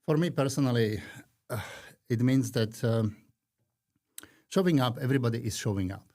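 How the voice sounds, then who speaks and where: A man in his fifties from Slovakia with a Slovak accent, talking earnestly and reflectively as a podcast guest, with frequent pauses. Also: mid-range voice, darkish tone. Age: 50s. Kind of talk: conversational interview.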